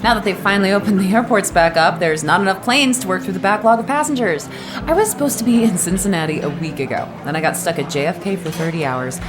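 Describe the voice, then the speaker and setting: An actress playing an exasperated, talkative stranded traveler in an audio drama, griping chattily. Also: female voice, mid-range voice, fast, music in the background, lightly acted.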